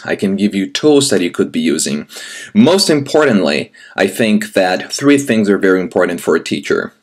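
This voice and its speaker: Brazilian man in his 30s, native Portuguese speaker, lowish voice, teacher addressing viewer with filler pauses. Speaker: language teacher. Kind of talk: recorded teaching application and sample lesson.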